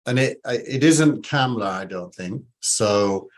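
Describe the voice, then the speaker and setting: A man's voice: lowish voice, expressive, a little slow. Man, Yorkshire accent, reflective, repeats his openings, panel chat. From Yorkshire, England. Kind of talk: casual panel discussion.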